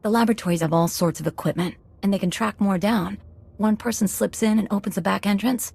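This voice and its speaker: An actress playing a streetwise, scheming prison inmate in a video game's heist crew, speaking plainly and pointedly. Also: female voice, medium pitch, brisk, creaky, a little mellow, slightly rough. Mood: eager, scheming. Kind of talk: video game voice acting.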